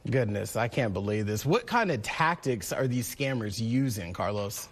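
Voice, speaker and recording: A man's voice: on the low side, expressive, moderate pace. A man working as a morning news anchor, presenting and interviewing in broadcast news style.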